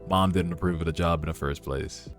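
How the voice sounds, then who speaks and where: Male read-along host, deep register, slangy and conversational, reacting over background music. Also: fairly even intonation, fairly quick. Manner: informal, slangy, conversational asides. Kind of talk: casual reaction commentary mixed with narrated reading. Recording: music under the voice.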